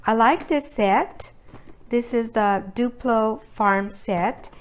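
Slow-paced woman demonstrating learning activities. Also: a little high. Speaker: early childhood educator presenting activities. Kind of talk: demonstration talk to parents. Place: indoors at a table by a brick fireplace.

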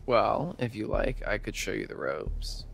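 A man's voice: fairly low pitch, sing-song, vocal fry, full character voice. An actor playing a nerdy young male camp counselor who rambles and stalls with fillers, in a video game. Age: young adult. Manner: rambling, halting with many fillers. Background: music.

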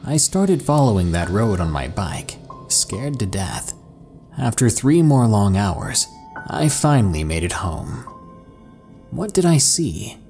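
A man reading first-person horror stories in a slow, hushed, dramatic storytelling manner. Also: lowish voice. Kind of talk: scripted horror narration. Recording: faint music underneath. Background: soft background music.

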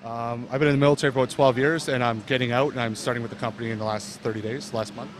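A man in his thirties, a company representative, describing gear in a loose, relaxed way with many fillers, speaking into a handheld mic in an indoor expo hall. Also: medium pitch, rapid-fire, background noise. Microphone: handheld mic held by interviewer.

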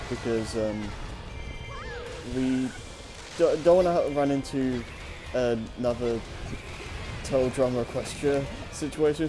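A man co-hosting a show, chatting casually and unscripted in a hesitant, halting way. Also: mid-range voice. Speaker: co-host of a story-reading show. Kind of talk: casual unscripted chat over gameplay.

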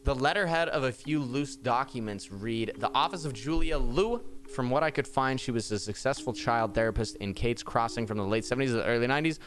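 Young guy doing a live reaction, chatty, thinking out loud, close desk mic. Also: medium pitch, a little fast, few pauses, slight background noise. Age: young adult. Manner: informal, musing aloud. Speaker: streamer reacting to a horror video. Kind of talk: casual live reaction and reading aloud. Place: small indoor room at a desk. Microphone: desk microphone close to the mouth.

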